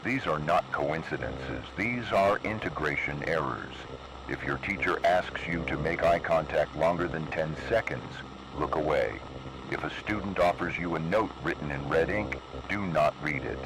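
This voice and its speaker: A man voicing a cold, menacing emergency broadcast announcer in slow, measured, ominous narration. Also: very deep voice. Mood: official. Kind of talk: scripted analog horror narration. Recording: noisy analog video recording.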